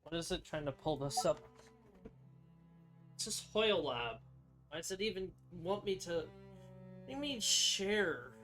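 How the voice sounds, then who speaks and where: A young man giving casual gameplay commentary, muttering to himself in halting, half-finished thoughts. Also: sits high, lively intonation, pushed and bright. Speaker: gamer playing on a stream or recording. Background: game music.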